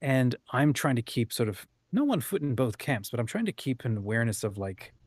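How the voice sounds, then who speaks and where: A man's voice: medium pitch, lively intonation, fairly quick, breathy edge, dry recording, studio quality. A man hosting a casual interview, thinking aloud in rambling, hedged half-sentences. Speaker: host. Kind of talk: casual chat, interviewing a guest.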